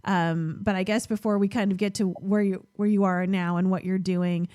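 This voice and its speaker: A woman's voice: medium pitch, steady tone, normal speed, darkish tone, dry recording, studio quality. A woman hosting a podcast, chatting informally and enthusiastically with her guest. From Illinois, United States. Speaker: podcast host. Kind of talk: casual chatty interview.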